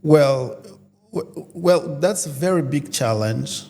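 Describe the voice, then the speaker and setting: Slow, gravelly male guest. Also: a little high, expressive, darkish tone, a little hiss or hum. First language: not English. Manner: hesitant, repeats words while searching for them. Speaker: guest, photographer and musician. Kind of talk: casual interview chat. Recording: reverberant room.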